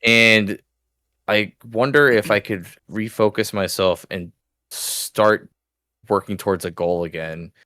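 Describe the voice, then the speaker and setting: Male co-host, 30s, bassy low voice, dry close sound, loose rambling delivery with swearing. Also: fairly even intonation, takes it slowly, pushed and bright, no room sound. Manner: rambling, swears freely, laughs often. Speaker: podcast co-host. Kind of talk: casual chat.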